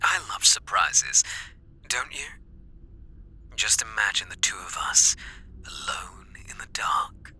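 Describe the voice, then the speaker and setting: Man playing a whispery, low-voiced British stalker killer. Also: very animated, bright, forceful tone, exaggerated voice. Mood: menacing, eager. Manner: taunting, whispery, drawn-out teasing questions. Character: obsessive, menacing masked male killer, seductively playful. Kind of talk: ASMR roleplay, intimate monologue. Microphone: close microphone.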